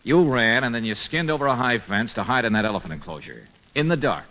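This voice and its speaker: An actor playing a hard-boiled private detective in an old-time radio drama, sardonic and probing. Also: male voice, mid-range voice, expressive, fast talker, natural voice. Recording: dull lo-fi old radio recording.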